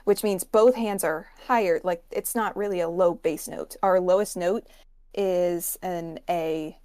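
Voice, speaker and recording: A woman's voice: on the low side, steady tone, measured pace, warm tone, no echo. A woman guesting as a music expert, explaining things in a casual, enthusiastic way.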